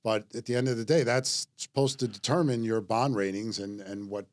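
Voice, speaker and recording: A man's voice: lowish voice, steady tone, a little fast. A man hosting a real estate podcast in a casual panel talk, joking easily and reading out market figures. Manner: joking, easygoing, reads out news figures. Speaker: podcast host.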